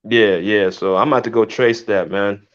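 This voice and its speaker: A man hosting a basketball podcast in casual, relaxed livestream chat. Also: fairly low pitch, moderate pace. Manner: relaxed, conversational, drawling "man" and "dude". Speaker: podcast host. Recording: muffled lo-fi livestream audio.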